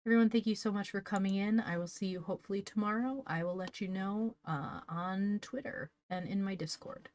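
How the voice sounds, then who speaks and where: A woman hosting a livestream, chatting casually and calmly with her viewers as if greeting a class. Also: mid-range voice, fairly quick, hardly any pauses, slight background noise. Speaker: livestream host reading stories aloud.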